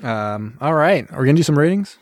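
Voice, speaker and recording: A man's voice: a little high, lively intonation, a little fast, no room sound, studio quality. A man hosting a casual movie podcast, joking and laughing as he steers the talk. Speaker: podcast host.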